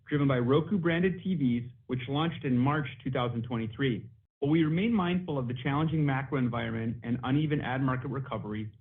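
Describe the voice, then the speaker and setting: A male finance chief reading a scripted financial report on an earnings call. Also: mid-range voice. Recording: narrow phone-like conference line.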